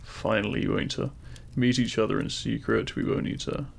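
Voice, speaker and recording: An actor playing a demon king, a deliberate and intimate lover, speaking straight to the listener in a romantic audio roleplay. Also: lowish voice, fairly even intonation, creaky, slightly rough, full character voice. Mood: loving, gentle. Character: feared demon king, menacing in public, tender lover in private.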